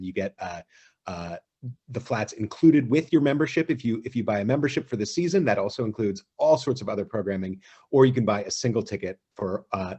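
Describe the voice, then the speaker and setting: A man hosting a live theater company show on a video call, speaking appreciatively in a halting way and making announcements. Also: on the low side, warm tone, husky.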